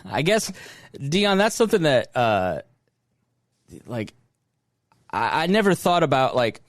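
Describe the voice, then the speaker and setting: A man's voice: on the high side, very animated, takes it slowly, pauses often, no echo, studio quality. A man hosting a podcast, asking curious questions in a casual discussion. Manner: curious, questioning, laughs often. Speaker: podcast host.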